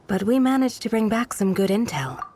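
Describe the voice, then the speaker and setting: Actress as a composed, measured female guard. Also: mid-range voice, animated, slight background noise, lightly acted. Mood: composed, informative. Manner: measured, polite, gently chiding. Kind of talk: video game voice acting.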